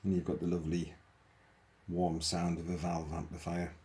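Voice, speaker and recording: A man's voice: low voice, little pitch movement, moderate pace, warm tone, a little hiss or hum. A man giving a casual, hands-on explanation as a hobbyist, informal and thinking aloud as he goes. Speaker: hobbyist presenter demonstrating a modified radio.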